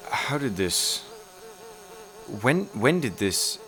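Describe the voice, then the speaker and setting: A man playing an inquisitive, educated professor in an audio horror play, speaking politely, formally and a little haltingly. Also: mid-range voice, very animated, pushed and bright, heavily acted. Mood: alarmed, baffled. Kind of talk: audio horror drama acting. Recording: insect-like ambient noise behind dialogue.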